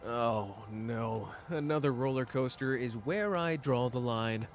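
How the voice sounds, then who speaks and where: A playful, melodramatic young man who speaks softly and teases, as a man performs him for an ASMR roleplay. His voice sits at an ordinary middle pitch for a man, and the delivery stays gentle and close. He drifts between mock suffering and quiet sincerity. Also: a little slow, a little hiss or hum, close to an everyday voice. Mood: wry. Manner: soft, teasing and theatrical.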